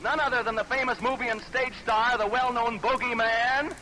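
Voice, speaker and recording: High-pitched American man hosting vintage radio show. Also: steady tone, moderate pace, pushed and bright. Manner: chatty, showman-like patter with repeated catchphrases. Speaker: radio game show host. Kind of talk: 1940s live radio quiz show hosting. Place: live radio stage. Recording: noisy vintage 1940s radio broadcast recording.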